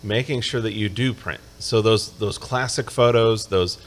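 Easygoing male host, low voice. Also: unhurried. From upstate New York. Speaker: show host. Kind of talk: casual live show chat.

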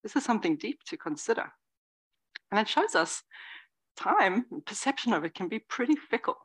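A woman in her forties giving a lively, conversational dharma talk as a Zen teacher, dropping now and then into soft whispered asides. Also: mid-range voice, moderate pace, husky, rough recording. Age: 40s. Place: meditation hall before a live audience.

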